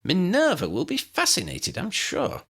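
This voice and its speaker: A man's voice: sits high, wildly swooping pitch, moderate pace, a bit bright, breathy edge, voice pushed up, heavily acted. A man voicing a small, courteous male professor in an audiobook, exclamatory and easily astonished. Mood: impressed. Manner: exclamatory, proper, swooping.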